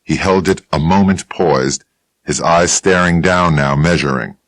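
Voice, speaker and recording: Very deep, laconic male audiobook narrator. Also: a bit bright, dry recording. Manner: laconic, dry, unhurried. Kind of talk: first-person audiobook narration.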